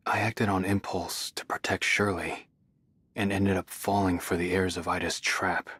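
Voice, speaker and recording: A man voicing a guilt-ridden, guarded young man, husky and hesitant, in an English anime game. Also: sits low, level tone, normal speed, a bit bright, dry recording. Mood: remorseful. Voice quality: husky, breathy. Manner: hesitant, subdued, serious.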